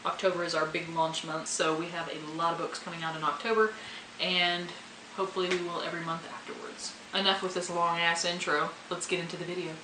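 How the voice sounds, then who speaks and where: A woman's voice: fairly low pitch, flat delivery, fairly quick. A woman hosting a video, giving a casual, candid, self-deprecating intro. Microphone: camera's built-in microphone, no external mic. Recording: echoey room, faint background noise.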